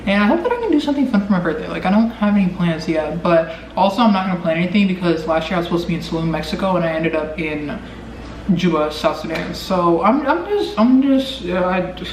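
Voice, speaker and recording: Echoey, higher-pitched young American man. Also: expressive, fast talker. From Omaha, Nebraska, United States. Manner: informal, rambling, heavy use of "like". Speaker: vlogger. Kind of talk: casual chatty Q&A to camera. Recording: echoey noisy room, distant mic.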